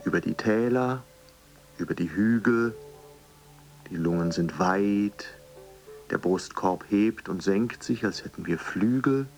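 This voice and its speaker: An earnest fitness coach in a radio drama. He intones his instructions like an incantation in a lowish male voice, with soft music in the recording. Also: slow, pauses often. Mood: soothing, incantatory. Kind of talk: radio play, guided workout and relaxation.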